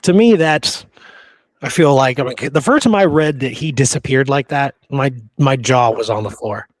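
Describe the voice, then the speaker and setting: A man's voice: medium pitch, moderate pace, dry recording. A man co-hosting a history podcast, telling old stories in a casual, colloquial way. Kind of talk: casual conversational history storytelling.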